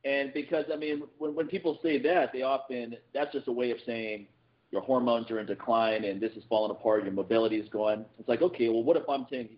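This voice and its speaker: A man co-hosting a casual podcast chat, animated and quick, often repeating words as he starts a thought. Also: medium pitch, muffled recording. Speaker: podcast co-host.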